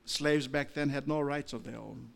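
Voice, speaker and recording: A man with an Australian accent preaching a sermon as a pastor, moving from low, measured talk to loud, impassioned preaching. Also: fairly even intonation, creaky.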